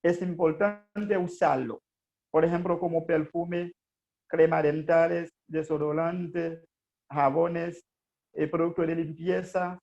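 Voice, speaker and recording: Haitian man pitching a business, earnest and hesitant, raspy fry in a high voice, muffled recording. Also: steady tone, slow pace, a little mellow. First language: Haitian Creole. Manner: earnest, hesitant with frequent fillers. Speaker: network marketing presenter. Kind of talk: motivational business presentation.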